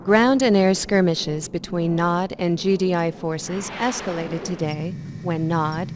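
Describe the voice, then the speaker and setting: A woman's voice: on the low side, unhurried, natural voice. A woman playing a composed, serious war reporter in a video game newscast. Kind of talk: scripted newscast. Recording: clear outdoor background noise.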